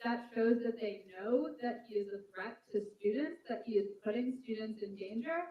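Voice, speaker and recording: A woman's voice: a little high, normal speed. Young woman, earnest, echoey press audio. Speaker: student protester at a press conference. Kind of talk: press conference statement. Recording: echoey, dull outdoor audio.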